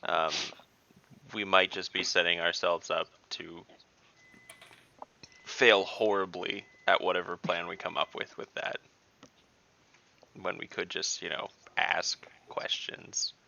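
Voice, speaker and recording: A man's voice: sits low, level tone, takes it slowly, gravelly, long pauses, sharp tone. A man playing in an online tabletop game, thinking aloud hesitantly with ums and trailing into a mutter. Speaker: player. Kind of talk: casual tabletop game chat. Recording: dull online call audio.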